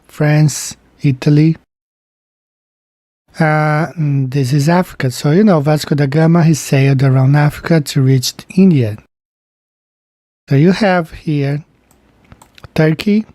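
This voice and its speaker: Slow-talking man with mellow voice. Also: on the high side, dry recording, studio quality. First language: Portuguese. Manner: slow, meandering, pointing things out on screen. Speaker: channel host. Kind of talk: casual screen-share explainer.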